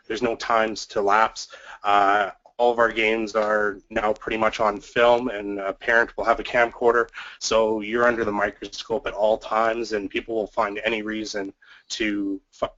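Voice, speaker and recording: A man with a cold and a fading voice, giving a presentation on communication to basketball officials, clearing his throat often. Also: fairly low pitch, level tone, moderate pace, fairly bright tone, dull audio. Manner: frequent throat clearing, fillers. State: has a cold, losing his voice.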